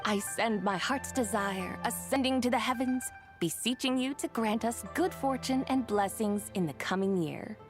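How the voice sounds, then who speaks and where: A woman's voice: a little high, over music, natural voice. Serene immortal maiden voiced by a woman, poised. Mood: reverent. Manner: poised, formal, measured. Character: serene, gracious ancient female immortal, youthful-looking. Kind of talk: scripted game dialogue, ceremonial recitation.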